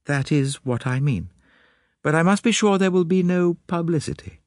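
Audiobook noblewoman acted by a male reader: high, breathy, secretive, hesitant yet urgent. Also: lively intonation, moderate pace, no room sound, studio quality, close to an everyday voice. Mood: nervous, guarded. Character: anxious, eager aristocratic lady, breathlessly secretive.

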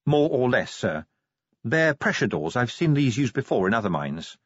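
A man voicing a calm, dutiful female police sergeant in a storybook, deferential and level-headed. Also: medium pitch, moderate pace, dry recording, muffled recording. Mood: matter-of-fact.

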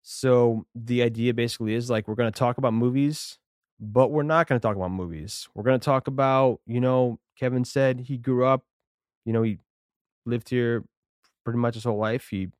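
A man's voice: mid-range voice, steady tone, moderate pace, darkish tone, clean tone, dry recording, studio quality. A man in his thirties co-hosting a casual podcast, talking in a laid-back, rambling way with lots of fillers. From Connecticut, USA. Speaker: podcast co-host. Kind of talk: casual chat.

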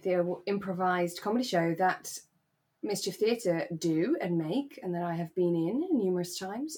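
Woman, podcast co-host, relaxed chat, animated storyteller, theatrical delivery, medium pitch. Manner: animated, dramatic storytelling with acted-out asides. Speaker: actor. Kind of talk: relaxed chat about a radio drama.

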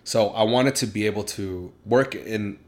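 A young man chatting casually as a podcast guest, relaxed and halting, with many fillers and restarts. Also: on the low side. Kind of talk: casual chat.

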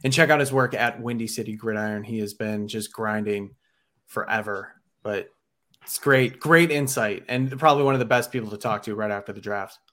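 A man's voice: fairly low pitch, moderate pace, a bit bright. A man hosting a casual sports podcast, friendly and easygoing. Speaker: podcast host.

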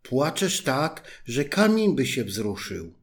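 A man's voice: mid-range voice, sing-song, slow. A man voicing a persistent female talking frog in a fairy tale, coaxing and pleading.

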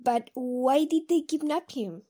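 A woman playing a gentle, questioning, concerned member of a hero team in an audio drama. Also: high-pitched, a little slow. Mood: worried.

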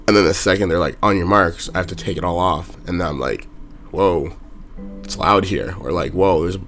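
Young man with a raspy, sore-throated voice. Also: sits low. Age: young adult. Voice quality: monotone, raspy. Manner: talks in loose runs with fillers. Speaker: vlogger. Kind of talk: casual ranting vlog.

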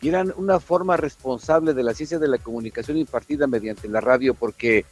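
A man's voice: mid-range voice, brisk. A man with a Mexican accent co-hosting a radio show, speaking reflectively in a hesitant, wordy and somewhat formal way.

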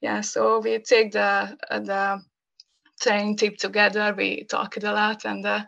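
Hungarian woman, hesitant and bright. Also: mid-range voice, completely flat delivery, unhurried, vocal fry, muffled recording. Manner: hesitant, many fillers, laughs often. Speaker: podcast guest. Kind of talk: casual interview conversation.

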